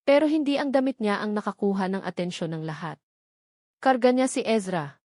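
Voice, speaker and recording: Filipina narrator of dramatic romance. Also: female voice, mid-range voice, lively intonation, fairly quick, a little mellow, no room sound, clean studio sound. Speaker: audiobook narrator.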